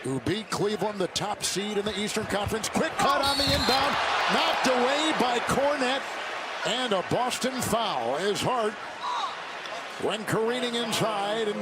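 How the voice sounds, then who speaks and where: A man's voice: high voice, expressive, measured pace. A man calling basketball play-by-play for a live broadcast. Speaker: play-by-play announcer. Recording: very noisy arena broadcast. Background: basketball arena noise, bouncing ball.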